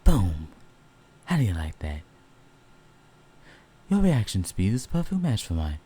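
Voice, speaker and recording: Man playing a low, husky, teasing werewolf. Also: huge pitch swings, measured pace, mellow, exaggerated voice. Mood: playful, competitive. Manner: casual, teasing, blunt. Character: male werewolf, teasing, competitive, gruffly loyal partner. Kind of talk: scripted audio roleplay.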